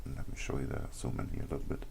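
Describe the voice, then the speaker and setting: A man hosting a live trading session, explaining charts casually, his voice worn and sore, often clearing his throat and pausing with ums. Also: dark tone, slight background noise. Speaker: trading stream host.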